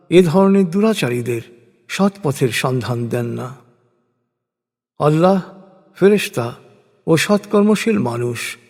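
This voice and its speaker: A man reading a scripture translation as a solemn, formal scripted narration, with measured and deliberate phrasing. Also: a little high, darkish tone. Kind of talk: solemn scripted religious recitation in prose. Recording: long hall-like reverb.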